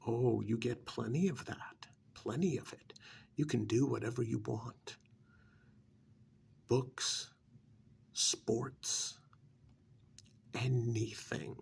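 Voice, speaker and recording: A man playing a smug, persuasive vampire's familiar in an ASMR role-play, coaxing like a sardonic salesman in a breathy, gravelly, often whispered voice. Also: exaggerated voice. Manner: coaxing, sardonic sales pitch with drawn-out emphasis.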